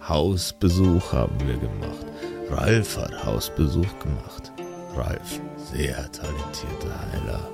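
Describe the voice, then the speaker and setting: A gaunt, monstrous healer in a tabletop audio play. The voice sinks very low and gravelly. He mutters in short, shady phrases and repeats himself, with music underneath. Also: big pitch swings, slow pace, full character voice. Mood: shady, muttering. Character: long, gaunt, monstrous male healer cleric, shady and laconic.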